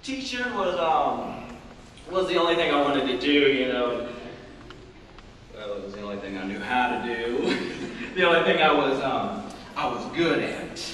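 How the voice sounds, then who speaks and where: A young man performing a haunted male high school English teacher, who talks in halting restarts and wry, self-mocking laughs, in a live dramatic interpretation. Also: big pitch swings, a bit bright. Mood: wistful, haunted. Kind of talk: competitive dramatic interpretation monologue. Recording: echoey hall from far off, noisy.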